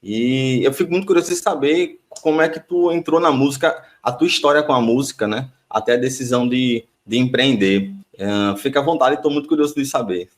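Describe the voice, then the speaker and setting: A man's voice: medium pitch, a little fast, few pauses. A man from Brazil hosting a podcast interview, friendly and curious, often repeating words as he asks questions. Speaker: podcast host.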